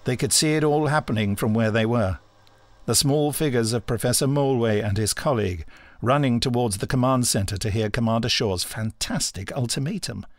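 A man's voice: mid-range voice, moderate pace, no echo. A man reading a scripted adventure story as a dramatic audiobook narrator.

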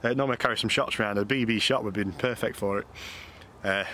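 A man's voice: on the low side, fast talker, sharp tone. A man in his thirties with an English accent, giving a casual, colloquial explanation as a fishing vlogger. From United Kingdom. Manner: informal, colloquial. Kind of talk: casual explanatory vlog chat. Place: outdoors on a canal bank. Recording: noisy outdoor ambience.

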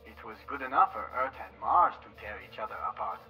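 Bright-voiced, streetwise space scavenger. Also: male voice, medium pitch, brisk, far from the mic, soft background music, low-quality recording. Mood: easygoing. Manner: informal, confiding. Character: easygoing, loyal male scavenger crewman, streetwise.

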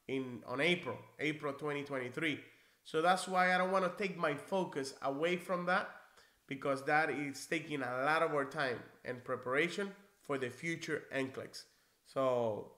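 A man from Cuba in his forties with a bright, slightly raised voice, talking through viewer questions like a friendly, encouraging teacher. He sits at his desk in a small office, caught on a webcam mic. The room rings with echo. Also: a little high. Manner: friendly, encouraging, conversational with restarts. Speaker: NCLEX prep instructor. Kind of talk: live-stream Q&A, reading viewer comments. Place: small indoor office, seated in leather chair.

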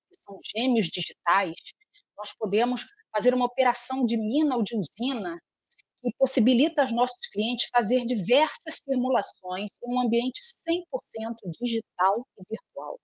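A woman in her forties giving an online talk over a video call with a headset, speaking in a measured, explanatory, slightly formal way. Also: a little high, no echo, rough recording. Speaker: speaker from a software firm. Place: room at home.

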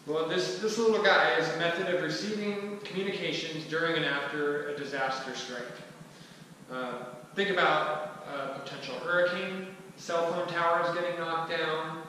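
A man in his thirties giving an informal, conversational explanatory talk to a small group, with somewhat halting delivery. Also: on the high side, steady tone, pushed and bright. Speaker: presenter at a skillshare. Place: large indoor room with wooden floor and mirror. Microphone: distant camera microphone. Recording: echoey hall, far from mic, faint noise.

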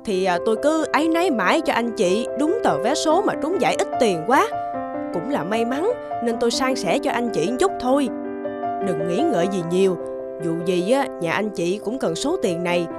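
Lively, high-voiced kind neighbour. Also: female voice, moderate pace. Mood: warm, insistent. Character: kind, generous, bustling neighbour woman. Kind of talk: animated moral-tale dubbing. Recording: music behind the voice.

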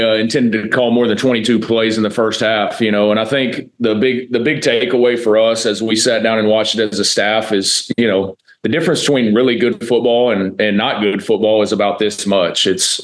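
An American man in his thirties, a football coach answering press questions in a measured, matter-of-fact way. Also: lowish voice. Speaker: college football offensive coordinator. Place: indoor press room, seated at a table before a backdrop.

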